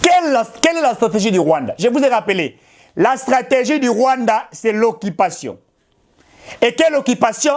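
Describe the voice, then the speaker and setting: Impassioned Congolese man, high lively voice. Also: moderate pace. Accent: Congolese French. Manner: emphatic and insistent, with repetition and appeals to the audience. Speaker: political commentator. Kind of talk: impassioned direct-to-camera address.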